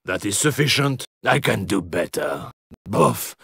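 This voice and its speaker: An actor playing a stern, proud, dogged French police inspector in a video game, with a French accent and a commanding, clipped, theatrical delivery. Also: male voice, lowish voice, animated, measured pace, gravelly, pushed and bright, slightly rough, no echo, studio quality, heavily acted. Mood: dry, restrained. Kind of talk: dramatic video game character lines.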